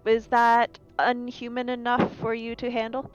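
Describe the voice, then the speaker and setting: A woman playing a polite female synthetic scientist in a tabletop game, measured, formal and dryly deadpan. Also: on the high side, muffled recording, soft background music, close to an everyday voice. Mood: politely deadpan.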